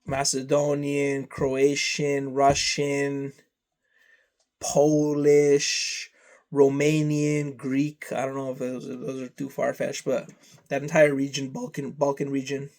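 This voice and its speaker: A man whose first language is Serbian, talking slowly and rambling through a casual vlog, trailing off into long lists. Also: mid-range voice, darkish tone. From Canada. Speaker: vlogger.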